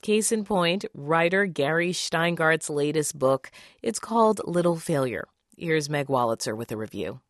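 Low-voiced woman hosting radio news. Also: animated, unhurried, few pauses, dry recording, studio quality. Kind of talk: scripted broadcast introduction.

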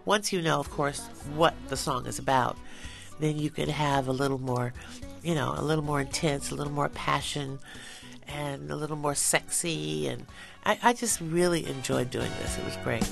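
A middle-aged woman singer, a guest in a conversational interview, animated and quick to laugh. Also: sits low, vocal fry, soft background music. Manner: animated, laughs easily, talks with passion.

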